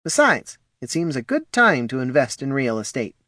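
A man voicing an earnest, kindly character speaking gently. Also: mid-range voice, very animated, moderate pace, no echo, studio quality, pitched above the performer's own voice.

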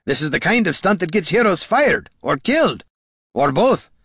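A man voicing a gruff male fantasy quest leader in an audiobook, barking and scolding in rough, colloquial speech. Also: medium pitch, lively intonation, brisk, pushed and bright, dry recording, studio quality, voice pushed up, heavily acted. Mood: angry, barking.

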